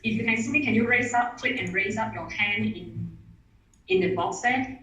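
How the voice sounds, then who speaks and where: A woman with Mandarin as her gives an online presentation. Her voice sits a touch high and sounds off-mic, as if from across a room. Echo rings around every phrase, and she restarts her sentences eagerly. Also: normal speed, pushed and bright. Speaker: webinar speaker. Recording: echoey room audio from a distance.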